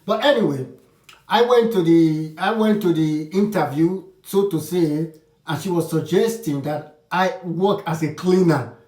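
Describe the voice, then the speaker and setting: A man's voice: a little high, unhurried, a little mellow. A man giving casual advice to his channel's viewers, frank and opinionated, often restarting his sentences. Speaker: vlogger. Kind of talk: casual advice talk to camera. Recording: roomy echo, distant from the mic.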